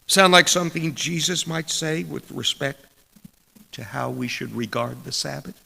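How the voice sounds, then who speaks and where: A middle-aged man delivering a sermon in a deliberate, measured way with dramatic pauses and a gravelly, husky voice. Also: a little high, little pitch movement, bright, forceful tone. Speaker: preacher reading a sermon. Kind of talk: sermon with read quotations. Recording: long echoing hall reverb.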